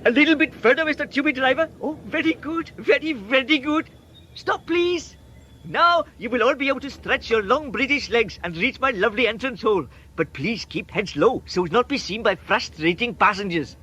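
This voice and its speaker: An actor playing a chirpy, over-polite accomplice with an Indian accent in a British comic radio play, effusive and repetitive. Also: male voice, very high voice, expressive, moderate pace, sharp tone, muffled recording, slight background noise, exaggerated voice. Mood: cheery, welcoming.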